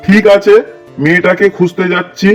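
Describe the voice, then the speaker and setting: A man's voice: sits high, little pitch movement, fairly quick, natural voice. A man playing a loving, reproachful middle-aged village father in a cartoon drama, dramatic and heartfelt. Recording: music clearly behind the voice.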